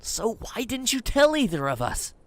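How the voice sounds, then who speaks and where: A man's voice: very high-pitched, wildly swooping pitch, normal speed, a bit bright, no room sound, heavily acted. A man playing a cocky young wasteland companion who talks earnestly and speaks his mind. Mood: concerned.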